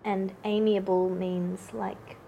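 A woman comedian co-hosting a casual podcast, speaking in a dry, deliberate way with careful word choice. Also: mid-range voice, little pitch movement, creaky, narrow, tinny audio, a little hiss or hum. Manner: dry, deliberate, precise wording. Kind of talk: casual chat.

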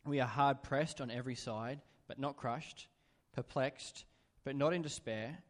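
A man reading a Bible passage aloud in a church, steady and even-paced. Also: medium pitch, a little slow. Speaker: scripture reader. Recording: long hall reverb in church.

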